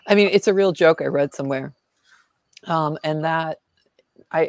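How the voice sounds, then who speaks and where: Low gravelly woman telling stories. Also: no room sound, clean studio sound. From United States. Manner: animated storyteller, laughs often, acts out quoted voices. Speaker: author guest. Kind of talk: casual interview chat.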